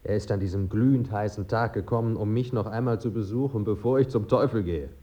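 A man's voice: on the low side, brisk, mellow, natural voice. A man acting in a German radio play as a dead writer, a bantering, evasive, pleasure-loving friend who savours his words. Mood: wry, expansive.

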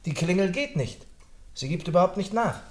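An actor playing a mild, loyal, easily astonished sidekick in a radio play, genial and slightly bumbling. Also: male voice, high voice, lively intonation, normal speed. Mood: puzzled. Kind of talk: German radio play acting.

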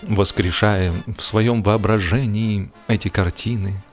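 A man reading a humorous literary classic as an audiobook narrator, expressively and wryly, with drawn-out pauses and emphatic phrasing. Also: on the low side, steady tone, faint music behind.

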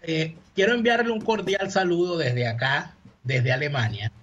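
A man's voice: on the high side, normal speed, a bit bright, muffled recording, slight background noise. A man co-hosting a talk show over a video call from abroad, casual and teasing. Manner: teasing, playful. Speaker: co-host. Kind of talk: casual radio talk, joking. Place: remote from abroad.

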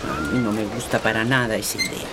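An actor playing a worried, protective guardian in a radio soap opera, doubtful and insistent. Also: male voice, fairly low pitch, little pitch movement, quick pace, noisy background, lightly acted. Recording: loud music behind.

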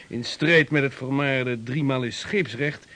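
A man's voice: medium pitch, takes it slowly, pushed and bright. Casting: male actor as an assured merchant statesman, radio play. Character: confident 16th-century Dutch merchant statesman. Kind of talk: radio drama acting.

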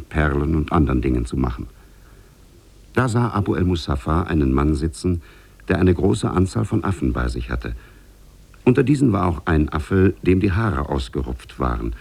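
A very deep-voiced storyteller tells an old oriental tale for radio. His husky, gravelly voice moves through the scripted narration with measured deliberation. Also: a little mellow, heavily acted. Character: male storyteller of an Arabian Nights tale.

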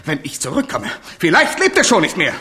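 Capricious king, high voice, wildly swinging. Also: huge pitch swings, brisk, bright, forceful tone, full character voice. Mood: agitated. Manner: volatile, swinging from outbursts to plaintive murmurs. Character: capricious, wounded, high-strung French king. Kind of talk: German radio play acting, theatrical.

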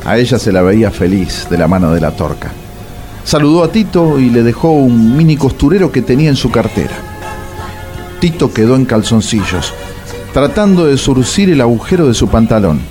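A man with a Rioplatense Argentine accent narrating a radio drama in a wry, theatrical way, with dramatic pauses. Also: medium pitch, very animated, darkish tone, faint music behind.

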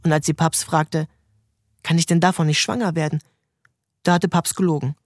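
A man's voice: a little high, fairly even intonation, moderate pace, dry recording. A man giving scripted literary narration as the narrator of an audio drama. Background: faint music.

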